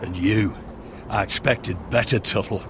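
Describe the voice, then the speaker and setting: Stern, scolding drill master. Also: male voice, lowish voice, measured pace, fairly bright tone, a little hiss or hum. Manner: scolding, commanding. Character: gruff, stern male drill master, harshly commanding. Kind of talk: video game voice acting.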